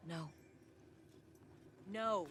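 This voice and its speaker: A woman's voice: mid-range voice, extremely slow, noisy background. A woman playing an impassioned, loyal and defiant younger heroine in a video game cutscene, speaking emotionally and insistently. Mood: hurt, defiant. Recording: loud effects and ambience behind voice.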